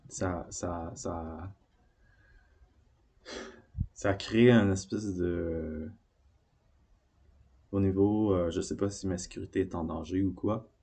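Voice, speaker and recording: A man from Beauce with a Quebec French accent, rambling through personal reflections in a halting way, often searching for words. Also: low-pitched, steady tone, gravelly, dark tone. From Beauce, Quebec, Canada.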